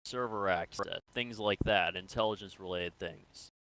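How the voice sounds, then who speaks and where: A man playing a probing, businesslike military interrogator in an improvised sci-fi game role-play, speaking in a measured, matter-of-fact way. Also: sits low, fairly bright tone, rough recording. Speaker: role-player in an online game.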